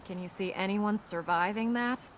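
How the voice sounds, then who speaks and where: An actress playing a resolute, sharp-tongued young princess in a video game, brisk and dry in manner. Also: female voice, medium pitch, slight background noise, natural voice. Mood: dry, teasing. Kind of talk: video game voice acting, dramatic dialogue.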